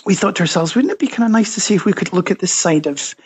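Hesitant Scottish man with a husky voice. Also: high-pitched, animated, fast talker. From Scotland. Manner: hesitant, many fillers and restarts; thoughtful, explanatory. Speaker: guest author and researcher. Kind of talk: podcast interview.

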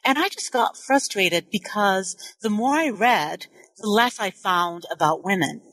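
A woman historian in her forties, born in Nigeria and a native Igbo speaker, tells her story in a measured, thoughtful way. She corrects herself now and then as she goes. Her voice sits in the middle range and sounds close and dry, with no room around it. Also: mid-range voice, a bit bright, no room sound, low-quality recording. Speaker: historian and author. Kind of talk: interview, personal account.

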